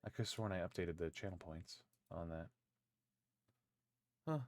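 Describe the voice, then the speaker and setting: A man hosting a live stream in casual chat, groggy from just waking up and rambling in a low-key way. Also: a little slow, no room sound. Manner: rambling and low-key, with many filler words. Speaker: streamer and podcast host.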